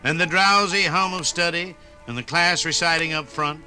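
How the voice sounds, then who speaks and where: American man, highish voice, piercing bright tone, nostalgic 1950s radio narration, evocative, faint music behind. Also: fairly even intonation, normal speed. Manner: dramatic, evocative storytelling.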